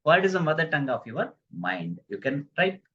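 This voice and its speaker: A man's voice: on the high side, sing-song, normal speed, fairly bright tone. A man giving a live online lecture on communication skills, stressing key phrases, repeating himself and putting questions to his viewers. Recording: dull lo-fi recording.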